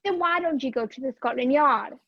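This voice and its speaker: A woman acting a feisty, sharp-tongued young woman who reluctantly helps a fugitive, in a radio play over a video call. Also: high voice, a little fast, breathy edge, no room sound. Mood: indignant. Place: video call, dry room. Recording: muffled video-call audio.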